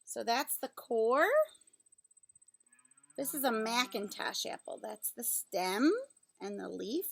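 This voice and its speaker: A woman reads aloud to young children in a high voice with a noticeable creak. She takes her time, moving between the book's text and simple, instructional asides and questions. There is faint noise behind her. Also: expressive. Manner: simple, instructional, pausing to explain and ask questions. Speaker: teacher reading a picture book aloud to young children.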